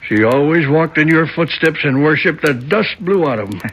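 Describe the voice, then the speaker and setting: Here is an injured, willing sidekick in a seventies radio play. The voice sits high and sounds gravelly and husky, with lines that jump around in pitch. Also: male voice, lively intonation, normal speed, a bit bright, exaggerated voice, rough recording. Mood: earnest, coaxing. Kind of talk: 1970s radio drama acting.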